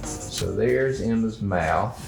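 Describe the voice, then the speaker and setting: A man hosting a children's drawing show, talking in a gentle, unhurried, halting way as he thinks aloud through a casual lesson. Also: fairly low pitch, vocal fry, reverberant, music in the background.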